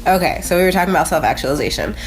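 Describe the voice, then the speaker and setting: A woman's voice: lowish voice, expressive, racing, soft background music. An American woman with a nasal, congested voice, hosting a casual motivational vlog in a chatty, conversational way with frequent asides. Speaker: host of a motivational video channel.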